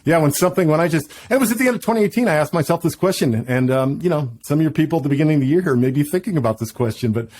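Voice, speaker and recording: Fast-talking middle-aged man, eager. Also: fairly high pitch, animated, darkish tone. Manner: fast, enthusiastic, many restarts. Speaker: podcast guest. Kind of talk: casual interview chat.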